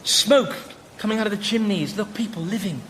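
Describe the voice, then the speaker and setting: An actor playing a vain, defensive businessman in a radio sitcom, stammering and whining in excitable protest. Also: high voice, normal speed, slight background noise. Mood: elated wonder. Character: vain, selfish, defensive dead businessman, self-pitying and excitable.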